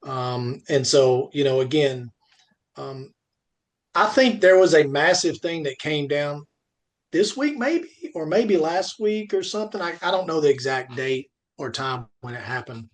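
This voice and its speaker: Man, slightly high voice, podcast co-host, casual shop talk, rambling, emphatic repetition. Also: animated, unhurried. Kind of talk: casual industry discussion.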